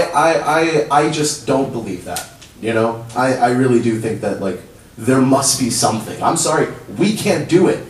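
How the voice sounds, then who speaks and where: A young man whose first language is Urdu, speaking in a halting, pleading way at a public comment podium in a council chamber. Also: a little high. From child of immigrants. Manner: halting, many restarts, rising to pleading urgency. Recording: roomy hall, distant mic, faint hum.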